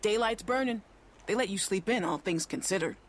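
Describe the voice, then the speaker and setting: An actress playing a brisk, hard-nosed, commanding female guard in a video game, with an American accent. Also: medium pitch, a little hiss or hum, close to an everyday voice. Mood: curt, commanding. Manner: curt, commanding.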